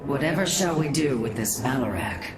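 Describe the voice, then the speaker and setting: A woman playing a protective, formal alien matriarch in a video game, speaking in measured, disdainful tones. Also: very deep voice, expressive, over a noisy crowd. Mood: concerned. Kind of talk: video game cutscene acting. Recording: distant, echoey, loud ambient noise.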